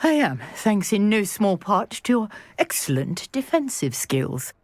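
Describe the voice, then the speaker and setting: An actress playing a brisk, well-spoken witch with an indignant streak in a video game. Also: mid-range voice, big pitch swings, darkish tone, no room sound. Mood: wry, grateful. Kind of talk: video game character acting.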